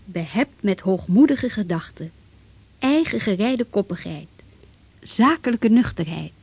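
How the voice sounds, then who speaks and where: A woman narrating a historical radio drama in a grave, measured way. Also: medium pitch, animated, darkish tone, slightly rough, no echo. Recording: dry, dull lo-fi radio recording with music.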